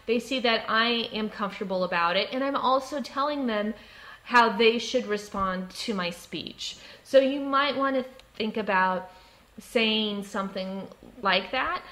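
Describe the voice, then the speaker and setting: A woman who stutters, with blocks and repeated sounds, giving an explanatory advice talk in a composed, frank and deliberate way. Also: fairly high pitch, a bit bright, low-quality recording. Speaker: presenter giving workplace advice. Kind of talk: explanatory talk with personal examples.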